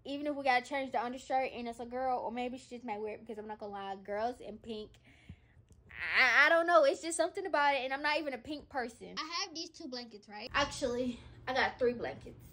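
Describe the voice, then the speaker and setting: A woman's voice: sits high, quick pace, pushed and bright. A woman in her twenties vlogging casually in a nursery at home, chatting to the camera while she sorts clothes and packs boxes. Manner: chatty, talks while packing and folding. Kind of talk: casual vlog chat to camera. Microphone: camera set on the floor.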